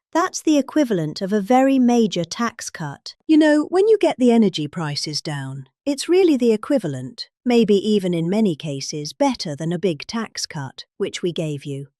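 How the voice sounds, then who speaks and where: A woman's voice: medium pitch, darkish tone, no echo, studio quality. A middle-aged woman with a British accent giving a dramatic reading of a rambling political speech, with theatrical, exaggerated emphasis.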